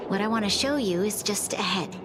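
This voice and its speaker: A woman's voice: medium pitch, a little fast, heavy background noise, lightly acted. An actress playing a composed, earnest young female city leader in a video game, speaking formally and diplomatically. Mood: resolute. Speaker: game character, guardian leader. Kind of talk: scripted video game voice acting. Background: game music.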